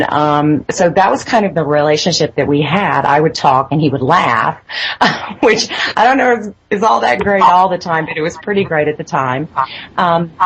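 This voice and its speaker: A woman with a low, husky voice speaks as a guest in a phone interview. She tells her story hesitantly, often stopping to restart her sentences and filling pauses. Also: low-quality recording. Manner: hesitant, many fillers and restarts. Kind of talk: phone interview, personal account. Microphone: phone line.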